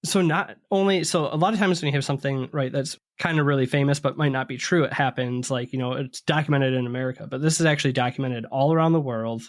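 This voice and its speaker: A man's voice: medium pitch, fairly even intonation, quick pace, few pauses. A man co-hosting a podcast, telling spooky stories in a conversational way and ad-libbing as he goes. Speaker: podcast co-host.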